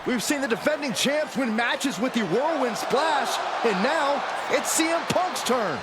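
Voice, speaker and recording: A man playing a wrestling commentator in a video game, calling the action with loud, dramatic play-by-play. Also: very high voice, expressive, unhurried, husky, exaggerated voice. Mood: excited. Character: excitable male play-by-play announcer. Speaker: ringside commentator. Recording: commentary over a loud arena crowd. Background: roaring crowd.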